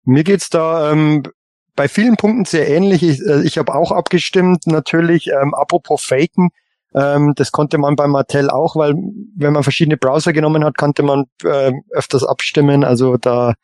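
Higher-voiced man in casual podcast chat. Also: fairly even intonation, normal speed, darkish tone, no room sound. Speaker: podcast panelist on a toy collector show.

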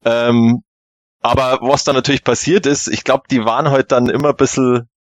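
A male podcast panelist reminisces in an easy conversational style. His pitch is middling for a man. The recording is dry, without audible room. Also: flat delivery, moderate pace, dry recording. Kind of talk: casual reminiscing chat.

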